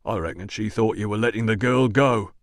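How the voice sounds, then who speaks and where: A man voicing a surly, rough-spoken working-class male doorman in an audiobook, blunt and slow. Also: fairly low pitch, no room sound, clean studio sound, lightly acted. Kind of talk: audiobook dialogue.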